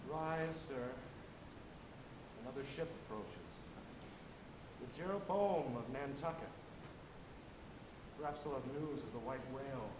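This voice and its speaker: A man's voice: mid-range voice, unhurried, long pauses, lightly acted. A man on stage playing an attentive shipmate who serves his captain. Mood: hushed, dutiful. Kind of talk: theatrical stage acting. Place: college theatre stage. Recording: echoey, noisy stage recording, quiet voice.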